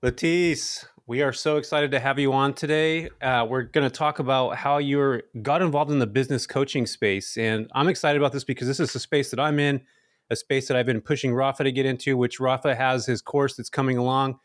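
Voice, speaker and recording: A man's voice: medium pitch, fairly even intonation, brisk, no room sound, studio quality. A man co-hosting a podcast, chatting casually and hyping up the guest with enthusiasm. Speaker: podcast co-host.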